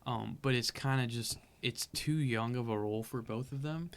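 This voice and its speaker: A man's voice: mid-range voice, normal speed. A man in casual podcast chat, easygoing, steering the talk back to the topic. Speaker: podcast co-host.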